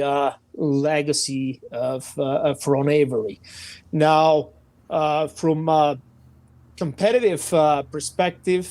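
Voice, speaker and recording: A man with a Bulgarian accent, his voice pitched a little high for a man, speaking on a podcast as a guest instructor. He pauses a lot with "uh" while he searches for words. The sound is dry and close. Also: a little mellow, no echo. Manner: many hesitations, frequent "uh". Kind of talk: podcast interview.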